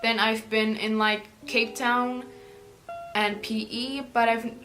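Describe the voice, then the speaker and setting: A woman's voice: on the high side, level tone, slow, fairly bright tone, faint music behind, rough recording. A teenage South African girl, an Afrikaans speaker, answering viewer questions in a chatty, rambling way with lots of "like". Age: teens. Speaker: YouTuber. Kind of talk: casual Q&A vlog.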